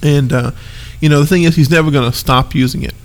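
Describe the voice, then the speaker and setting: A man's voice: a little high, fast talker. A man in his thirties with a stuffed-up nose, co-hosting a casual podcast chat in an easygoing, rambling way. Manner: easygoing, laughs often, rambling asides. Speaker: podcast co-host.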